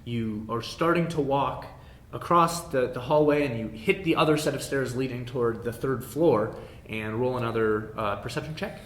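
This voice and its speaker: A man narrating a casual tabletop game as game master in a halting, conversational way. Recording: roomy echo, rumbling background noise.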